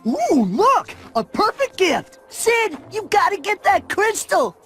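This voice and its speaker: An actor voicing a small, mischievous male possum in a cartoon game, excitable and conspiratorial. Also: very high voice, slow, faint music behind, full character voice. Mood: eager.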